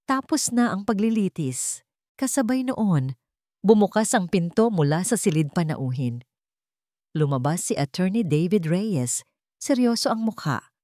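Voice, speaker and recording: A female narrator delivers a scripted dramatic story. Her voice sits low and is mellow in tone. She phrases deliberately, in short dramatic pieces, with a close, dry sound. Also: no echo.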